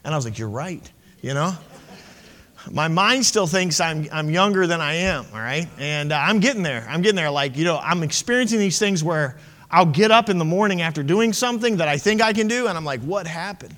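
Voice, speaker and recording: Man, late thirties, pastor, impassioned chatty sermon, bright voice, echoing hall. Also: a little high, lively intonation, brisk. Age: 30s. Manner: impassioned, conversational preaching with asides.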